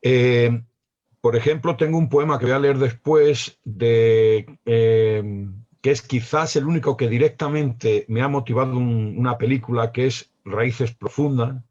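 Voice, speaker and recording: An unhurried man in his sixties with a Spanish accent from Spain, thinking aloud on a panel with frequent pauses and restarts. His voice sits at a middling pitch and comes through a somewhat dull, lo-fi video call line. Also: darkish tone. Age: 60s. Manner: slow, reflective, hesitant with many pauses. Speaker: poet guest. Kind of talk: panel discussion.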